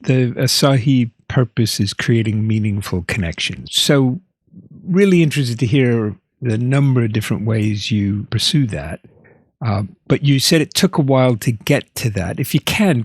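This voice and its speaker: A man hosting a podcast, reading a prepared introduction and asking a guest questions in a clear, prepared way. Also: medium pitch, lively intonation, moderate pace, dry recording, studio quality. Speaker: podcast host. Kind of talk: scripted intro and interview questions.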